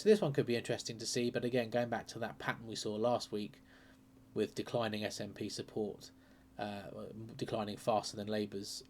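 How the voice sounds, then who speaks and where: Man, lowish voice, croaky from a cold, casual hesitant talk, ums and uhs, faint hum. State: croaky with a sore throat and cold. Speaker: political commentary channel host. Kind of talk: casual talk-through of election data.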